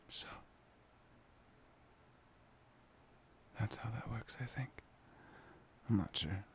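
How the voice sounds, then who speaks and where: A man playing a tender, playful boyfriend in an intimate ASMR roleplay, breathy and often slipping into a whisper. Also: on the low side, a little hiss or hum. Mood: tender, yearning. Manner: hesitant, intimate, soft-spoken. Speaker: ASMR voice performer.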